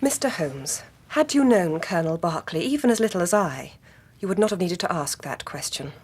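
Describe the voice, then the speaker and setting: An actress playing a guarded, indignant Victorian gentlewoman with an English accent, clipped and very formal in a British radio drama. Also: fairly low pitch, lively intonation, fairly quick, slightly rough.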